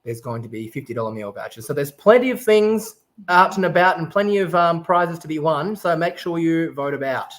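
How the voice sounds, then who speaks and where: A man's voice: on the high side, expressive, brisk. A man raised in Japan, casually hosting an online festival stream and explaining things step by step in an upbeat way.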